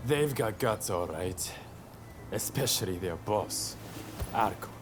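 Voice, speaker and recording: Low, slow, gruff samurai plotter. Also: male voice, lively intonation, over music. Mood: grim. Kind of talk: video game voice acting, English dub.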